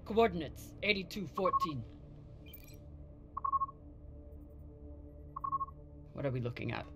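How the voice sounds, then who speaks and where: An actor playing a serious, decisive spaceship captain in a video game, speaking in a terse, commanding way. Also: male voice, on the high side, lively intonation, fairly quick. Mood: questioning. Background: loud music.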